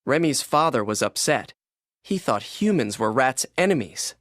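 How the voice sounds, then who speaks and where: A man narrating a children's read-along storybook in an expressive, scripted storytelling manner. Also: medium pitch, normal speed, dry recording, clean studio sound.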